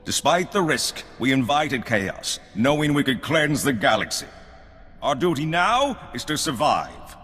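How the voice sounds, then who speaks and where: An actor playing a grave, grandiose leader of a secret cabal in a sci-fi game, with a solemn oratorical delivery and a husky voice. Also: male voice, medium pitch, very animated, unhurried, fairly bright tone, exaggerated voice. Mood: solemn, resolute. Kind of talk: scripted sci-fi game acting. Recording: long hall reverb, music behind.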